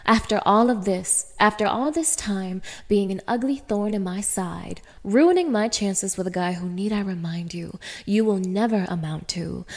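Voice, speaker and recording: A woman's voice: medium pitch, moderate pace, few pauses, natural voice. A woman playing a cruel, haughty young dominatrix in an audio roleplay, speaking straight to the listener with taunting, commanding contempt. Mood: scornful, menacing. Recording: reverberant, echoing room.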